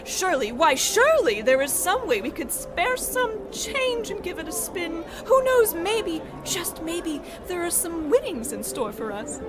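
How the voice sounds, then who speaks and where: A woman's voice: very high-pitched, big pitch swings, unhurried, hardly any pauses, background noise, heavily acted. A woman playing a giddy, wheedling wife with quaint melodramatic airs in an old-time comedy radio play.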